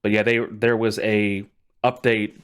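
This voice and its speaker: A man's voice: fairly low pitch, little pitch movement, measured pace, smooth. A man hosting a podcast in casual storytelling chat, enthusiastic and emphatic, often doubling words for stress. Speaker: podcast host.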